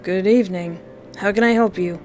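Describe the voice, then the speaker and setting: A woman's voice: medium pitch, normal speed, darkish tone, close to an everyday voice. A woman voicing a tired, dismissive male police officer in a visual novel, brusque and bureaucratic. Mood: bored, dismissive. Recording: clear background music.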